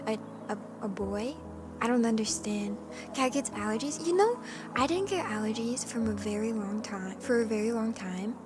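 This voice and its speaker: A woman streamer chatting casually with her live chat, with a hoarse voice and a playful cat persona. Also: fairly high pitch. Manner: chatty and playful, often clearing her throat. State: hoarse from allergies. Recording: music playing in the background.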